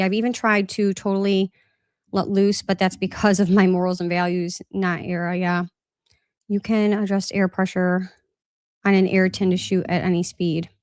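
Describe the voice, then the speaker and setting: A woman's voice: medium pitch, clean tone, no echo, clean studio sound. A woman hosting a casual live-stream Q&A, reading out viewer comments in a loose, rambling way, a little congested with a slight cough. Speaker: podcast host. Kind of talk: live-stream recap and chat Q&A.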